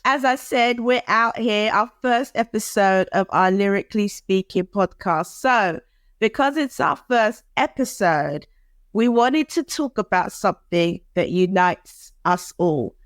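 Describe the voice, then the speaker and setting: A woman co-hosting a podcast in casual chat, animated and quick to laugh, with dramatic asides. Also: medium pitch, no echo. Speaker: podcast co-host.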